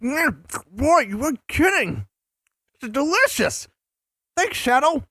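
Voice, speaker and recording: A man voicing an excitable, cocky young cartoon hedgehog in a fan audio drama, boastful and eager. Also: extremely high pitch, slow, no echo, clean studio sound, exaggerated voice. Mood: delighted.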